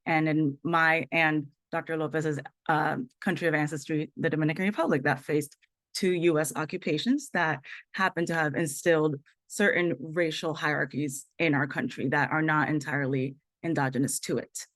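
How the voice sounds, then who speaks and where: A woman with a notably low voice speaking on a panel over a video call. Her delivery is fluent and formal, and the sound is dry with no room echo. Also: fairly even intonation, moderate pace, fairly bright tone. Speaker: panelist, PhD candidate. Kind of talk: prepared self-introduction.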